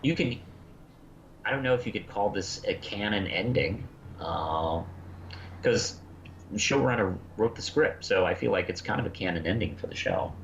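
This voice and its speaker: A man co-hosting a casual review discussion, thinking aloud in a hesitant, halting way. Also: on the low side, expressive. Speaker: podcast co-host. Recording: dull lo-fi sound, faint background noise.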